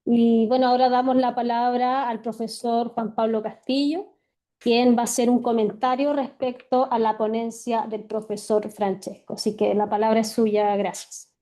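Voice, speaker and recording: A woman's voice: fairly high pitch, flat delivery, moderate pace, mellow. A woman moderating an academic seminar, polite and formal. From Chile. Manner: polite, formal, introduces speakers.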